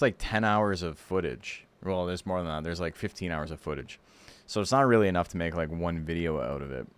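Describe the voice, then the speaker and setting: A hoarse man hosting a livestream, chatting casually and rambling with lots of fillers. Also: deep voice. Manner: rambling, relaxed, full of fillers. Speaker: streamer and tournament host.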